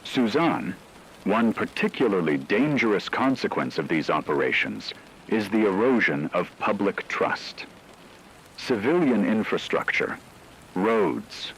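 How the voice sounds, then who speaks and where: A man playing a grave radio news anchor, delivering a formal, measured scripted emergency broadcast. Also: fairly low pitch, a bit bright, a little hiss or hum, rough recording.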